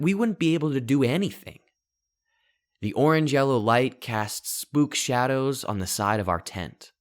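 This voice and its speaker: A man reading a scripted first-person short story in a deliberate way, with dramatic pauses between short phrases. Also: fairly low pitch. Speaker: author reading his own short story.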